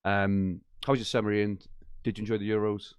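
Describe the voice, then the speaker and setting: A man hosting a live fantasy football podcast from his attic, chatty and enthusiastic with lots of fillers, his voice a little croaky from a late night. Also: fairly low pitch, level tone, fairly quick, no room sound. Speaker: podcast host. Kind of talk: live-stream podcast chat. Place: home attic.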